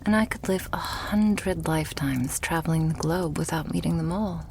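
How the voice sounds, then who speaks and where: ASMR roleplay casting: woman as devoted, stubborn vampire girlfriend, lowish soft breathy voice, unhurried intimate delivery, lightly teasing, creaky edges. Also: a little hiss or hum. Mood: loving, hopeful. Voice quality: soft, breathy, often whispered. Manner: slow, tender, coaxing, lightly teasing. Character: loving, doting, stubborn female vampire girlfriend.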